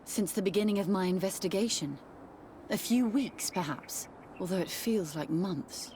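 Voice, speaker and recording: An actress playing an uneasy, pious nun with a formal, wary manner in a video game. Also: female voice, mid-range voice, normal speed, darkish tone, slight background noise, close to an everyday voice. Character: uneasy, pious investigating nun, adult woman. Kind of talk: video game cutscene acting.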